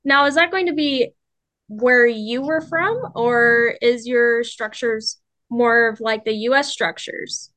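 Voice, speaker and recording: A young woman conducting an interview for a class, asking polite, careful questions with pauses. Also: fairly high pitch, a bit bright, dry recording. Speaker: interviewer, student.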